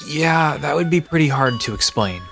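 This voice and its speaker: A man's voice: fairly high pitch, animated, moderate pace, gravelly, a bit bright, soft background music. A man playing a laid-back, bookish park resident in an audio drama, casually explaining myths with a dry manner. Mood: reassuring, explanatory. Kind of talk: conversational audio drama storytelling.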